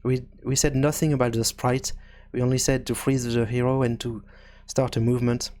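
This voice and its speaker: A man hosting a programming tutorial, thinking aloud in a halting, hesitant way as he works through each step. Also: medium pitch, flat delivery, a little mellow. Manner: hesitant, halting, working things out as he speaks. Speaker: video game programming tutorial host.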